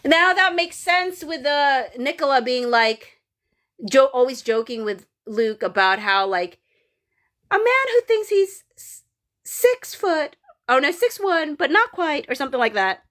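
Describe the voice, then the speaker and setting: A woman's voice: sits high, big pitch swings, a little slow, fairly bright tone. A woman with a Filipino accent, perhaps in her thirties, hosting a casual livestream, reading viewer comments and rambling with many fillers and restarts. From Philippines. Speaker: livestream host reading chat.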